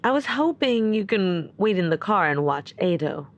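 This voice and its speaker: A woman playing a worried, caring and suspicious character in an audio drama, speaking naturally and earnestly with gentle insistence. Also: medium pitch, very animated, normal speed, muffled recording, close to an everyday voice. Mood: pleading.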